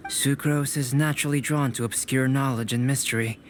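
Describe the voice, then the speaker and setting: A composed young alchemist mentor, voiced by a man, with an even, mid-pitched voice. He speaks calmly and with measured care, softly reproachful. Faint ambience sits behind him. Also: slight background noise, close to an everyday voice. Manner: calm, measured, quietly reproachful. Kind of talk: video game voice acting.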